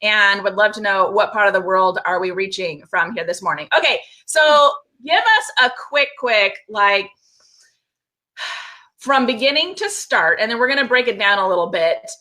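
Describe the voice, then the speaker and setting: A woman's voice: mid-range voice, animated, normal speed, pushed and bright. A woman hosting a live video call interview, talking to her viewers in a bubbly, upbeat way. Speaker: host.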